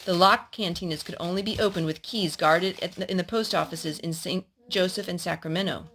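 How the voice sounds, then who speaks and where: A woman's voice: lowish voice, a little fast, a bit bright, a little hiss or hum. A woman storyteller reading a historical children's story aloud to a young listener.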